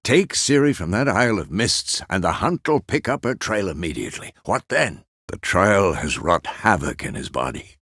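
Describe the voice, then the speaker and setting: A man playing a gruff, fatherly old monster hunter in a fantasy video game, with a gravelly voice and a terse, measured, authoritative manner. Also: deep voice, sing-song, a bit bright, no echo, studio quality, heavily acted.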